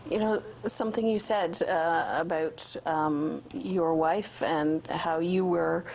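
Low-voiced woman hosting a studio interview. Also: fairly even intonation, takes it slowly, creaky, a little mellow, slight background noise, low-quality recording. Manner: friendly, prompting questions. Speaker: TV host. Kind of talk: community TV interview. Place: local TV studio set.

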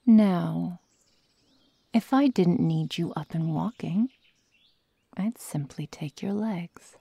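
A woman voicing a sadistic, predatory young werewolf girl in an ASMR roleplay, whispering and murmuring with slow, taunting menace. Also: lowish voice, warm tone, dry recording, exaggerated voice. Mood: sadistic, gloating. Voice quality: breathy, husky whisper with gravelly murmurs.

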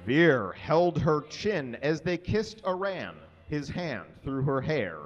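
A man in his thirties gives a live reading of a story from a small stage. His voice sits a little high and swings widely in pitch. He speaks into a microphone as a performer reading from a page. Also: big pitch swings, slow, muffled recording. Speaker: reader at a live comedy show. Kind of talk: dramatic reading of fan fiction to an audience. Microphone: handheld or stand microphone.

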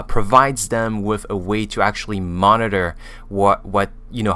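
A man's voice: on the low side, pushed and bright. A man in his thirties with a French accent, a native French speaker, reviewing a language program in a casual, hesitant way, full of fillers and restarts, recorded on a studio mic in a small room. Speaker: language learning YouTuber. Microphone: studio mic with pop filter on boom arm.

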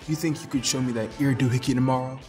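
A dim, laid-back teenage boyfriend, played by a man, slangy and smitten, halting over his words. Faint music plays under this radio drama. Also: mid-range voice, fairly even intonation, a little mellow, close to an everyday voice. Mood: eager, awkward. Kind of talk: radio play acting.

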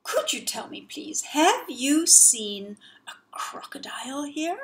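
A woman's voice: sits high, big pitch swings, takes it slowly, whispered, voice pushed up, exaggerated voice. A woman voicing a polite, curious young male elephant calf who speaks earnestly and pleadingly.

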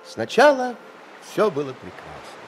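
A man's voice: fairly high pitch, huge pitch swings, measured pace, faint music behind, poor audio. A man narrating a sea adventure radio play in an emphatic, theatrical storytelling manner. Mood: dramatic storytelling.

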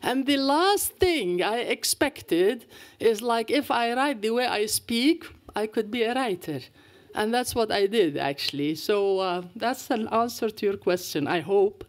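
Older palestinian woman telling stories in a lecture, lively, gesturing a lot at the podium mic. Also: a little high, unhurried. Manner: anecdotal and animated, with gestures. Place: lecture hall. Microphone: podium microphone.

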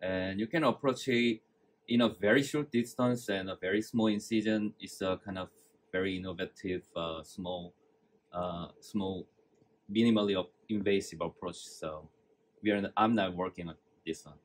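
Soft-spoken man from South Korea. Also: low-pitched. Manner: soft, halting explanation in simple English. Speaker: visiting surgeon.

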